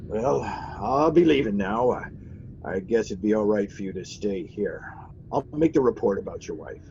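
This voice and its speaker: A man's voice: on the low side, lively intonation, normal speed, gravelly, darkish tone, slight background noise. An actor playing a genial, aging beat policeman in 1940s radio drama style, hesitant, folksy and polite. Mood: subdued, polite. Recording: dull old radio recording, music behind.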